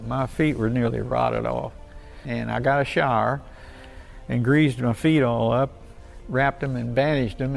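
A man's voice: mid-range voice, creaky. An elderly American war veteran, a man, telling his memories in a folksy, rambling, colloquial way. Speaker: WW2 veteran recalling service. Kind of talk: spontaneous oral history storytelling.

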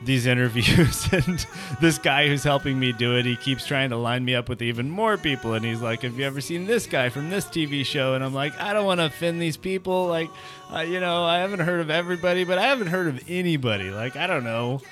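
A man's voice: on the high side, brisk, fairly bright tone, faint music behind. A man co-hosting a podcast in casual banter, wry and rambling, often repeating his phrases. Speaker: podcast co-host.

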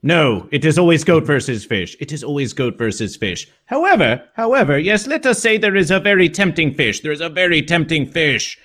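A man's voice: on the high side, big pitch swings, brisk, hardly any pauses, fairly bright tone. A man playing an eccentric, celebratory mascot persona who talks in stilted, repetitive phrases and speaks of himself in the third person. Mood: gleeful, earnest. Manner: stilted foreign-style phrasing, repeats phrases, exclaims yes. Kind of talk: in-character improvised interview.

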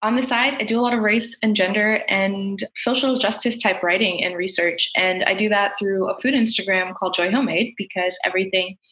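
A woman, a podcast guest talking in an upbeat, lively way. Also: medium pitch, fairly quick, few pauses. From United States. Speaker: guest, tech worker and writer. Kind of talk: casual conversation. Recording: roomy echo.

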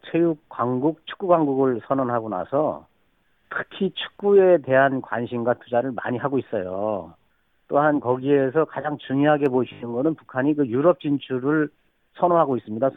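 A man comments as an expert over a tinny phone line, sounding dry and thin. He talks casually and hesitantly at a middle pitch. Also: dry recording. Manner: casual, hesitant speech with fillers. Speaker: expert interviewee. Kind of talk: interview clip.

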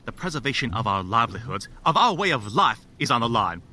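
An actor playing a tense, impatient, accusing male conspirator in a period audio drama, sharp and confrontational. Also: medium pitch, sing-song, fast talker, heavily acted. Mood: impatient, anxious.

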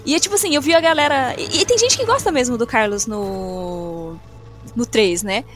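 A high-voiced Brazilian woman in a casual podcast chat. She talks in slangy, exclamatory bursts and leans hard on her opinions. Music plays softly behind her. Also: expressive, moderate pace. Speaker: podcast co-host.

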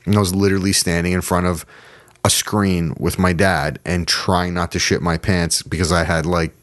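Canadian man, low voice, dry close sound, casual podcast co-host. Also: level tone, normal speed, fairly bright tone, dry recording. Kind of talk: casual group chat about soccer.

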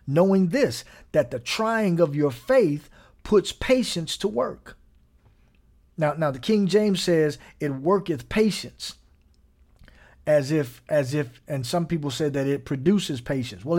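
A man preaching a sermon in a slightly higher voice. He keeps a relaxed, conversational tone and checks in with his listeners often. Now and then he chuckles or sinks almost to a whisper. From Gary, Indiana.

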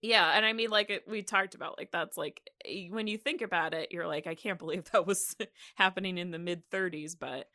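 Bright-voiced woman chatting on a podcast. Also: lowish voice, steady tone, fairly quick, few pauses. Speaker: podcast co-host. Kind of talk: casual, chatty podcast discussion.

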